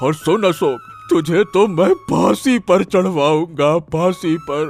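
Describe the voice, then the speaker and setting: A man's voice: sits high, slow pace, a little mellow, faint music behind. A man playing an emotional, anxious older village father in a cartoon moral story. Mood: grieving rage.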